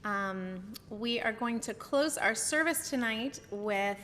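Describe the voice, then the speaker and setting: A woman leading a worship service, speaking slowly and warmly with some restarts. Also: on the high side, lively intonation, in a large hall, a little hiss or hum. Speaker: service leader.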